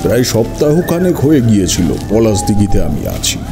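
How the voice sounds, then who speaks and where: A man's voice: lowish voice, big pitch swings, moderate pace, music in the background. A man narrating a scripted first-person horror story in a dramatic, literary storytelling manner.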